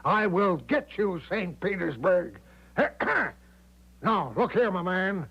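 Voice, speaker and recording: An actor playing a big, bluff, overconfident American major who breezily sweeps objections aside in a 1970s radio drama. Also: male voice, high-pitched, animated, slow, husky, full character voice. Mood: confidently reassuring. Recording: dull vintage radio recording.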